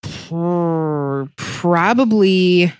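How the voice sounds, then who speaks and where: A woman's voice: fairly low pitch, extremely slow, clear, resonant tone, no echo, studio quality. A woman in a casual podcast chat, teasing the others.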